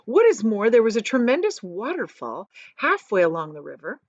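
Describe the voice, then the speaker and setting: A woman reads a children's story aloud in a teacherly way. Her pitch moves expressively as she leans on descriptive words and explains to young listeners. The recording is dry and slightly muffled. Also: huge pitch swings, normal speed, no echo. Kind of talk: expressive storybook read-aloud with casual asides.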